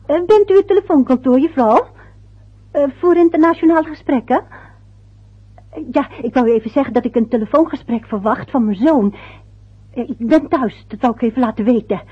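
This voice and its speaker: A woman's voice: fairly high pitch, slightly rough. An actress playing a middle-aged mother who chatters and mutters to herself about her family. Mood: flustered. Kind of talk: radio play acting. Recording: dull lo-fi old radio recording.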